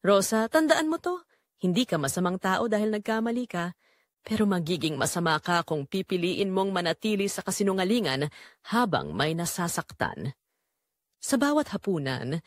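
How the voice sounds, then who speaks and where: A woman performs a guilt-ridden Filipino mother telling her story in the first person for an audio drama. Her delivery is earnest and reflective, with animated, emotional swings in pitch. The sound is close and dry, like a private confession. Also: no echo. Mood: earnest resolve.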